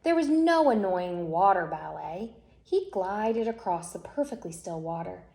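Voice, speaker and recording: A woman narrates a children's story with dramatic phrasing. She takes her time and varies her pitch widely. The recording is echoey, with a little background noise. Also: very animated, unhurried, creaky, dark tone. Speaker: storyteller reading a picture book aloud. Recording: echoey room with faint noise.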